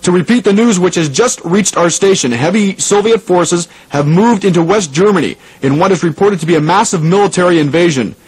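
A man playing a 1980s radio station host, speaking in a measured, formal announcer style. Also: high-pitched, expressive, a little mellow, husky, heavily acted. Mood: serious, urgent. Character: 1980s male radio DJ hosting oldies, turning newsreader. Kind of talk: radio DJ and news bulletin announcing. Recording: noisy radio broadcast recording.

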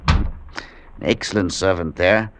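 An actor playing a brusque, self-sufficient, unsentimental professor with clipped phrasing, in 1940s radio drama style. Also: male voice, deep voice, fairly even intonation, unhurried. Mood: brusque, dismissive. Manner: clipped, dropping subjects from sentences.

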